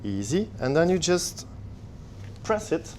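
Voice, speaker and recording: A man working as head chef, demonstrating a recipe in a kitchen and calmly explaining each step. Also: on the high side, takes it slowly, slight background noise. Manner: relaxed, explains steps simply. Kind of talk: cooking demonstration.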